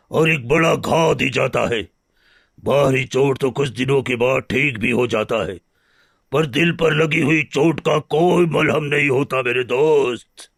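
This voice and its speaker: A man voicing a noble, wounded but forgiving lion in a cartoon moral story, speaking in solemn, heartfelt phrases with a gravelly, husky voice. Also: mid-range voice, no echo, clean studio sound. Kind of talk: animated moral story dialogue.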